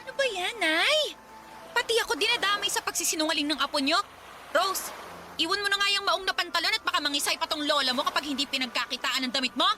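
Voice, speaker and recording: Very high-voiced, defiant Filipino mother. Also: fast, noisy background. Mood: sarcastic, exasperated. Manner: sarcastic, taunting, exasperated. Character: defiant, fed-up Filipino mother, sharp-tongued daughter. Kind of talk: Tagalog radio drama acting.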